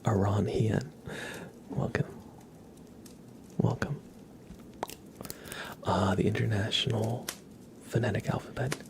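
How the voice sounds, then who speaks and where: A man whispering softly and breathily close to the mic as he hosts an ASMR livestream, chatting casually and gently repeating words. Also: fairly low pitch, steady tone, creaky, a little hiss or hum. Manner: soft, repeats words and names slowly.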